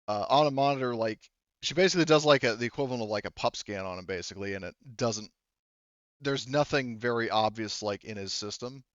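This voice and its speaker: Male game master, smooth lowish voice, thinks aloud haltingly through rules and improvised scene narration. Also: no room sound, muffled recording.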